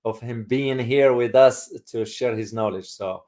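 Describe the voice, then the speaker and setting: Male host, from Morocco, casual live discussion style, halting delivery with repeated words, middling pitch. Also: mellow.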